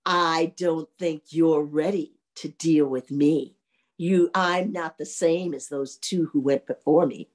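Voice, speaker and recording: Woman voicing a slow, low, menacing skeleton ghost. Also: fairly even intonation, darkish tone. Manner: slow, deliberate threats. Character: menacing, boastful skeleton ghost challenging a traveler.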